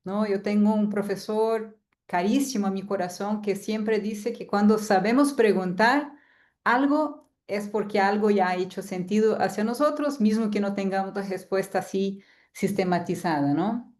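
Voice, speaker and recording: A woman's voice: mid-range voice, normal speed, darkish tone. Woman lecturing online. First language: Portuguese. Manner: chatty, often adds a tag "¿no?". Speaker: guest lecturer. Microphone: video call.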